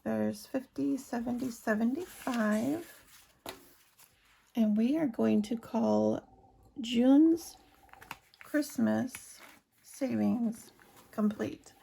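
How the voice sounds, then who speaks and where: Hoarse woman vlogging, kinda high voice but mellow, chatty, counting cash out loud. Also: drawn-out words, long pauses, slight background noise, low-quality recording. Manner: chatty, talks to viewers while counting cash aloud. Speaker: budgeting vlogger. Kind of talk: casual chat while cash stuffing.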